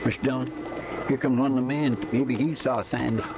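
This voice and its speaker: An actor playing an easygoing cattle trail boss in a vintage radio drama, with folksy frontier talk. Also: male voice, medium pitch, steady tone, brisk, natural voice. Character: gruff friendly cattle trail boss, man. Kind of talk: 1950s radio drama acting. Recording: hissy old radio broadcast.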